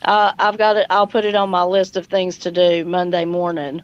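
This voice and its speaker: A woman's voice: lowish voice, flat delivery, normal speed, dry recording. A woman hosting a casual livestream chat over an online group call, with lo-fi audio. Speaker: livestream host. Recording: dull, lo-fi stream audio.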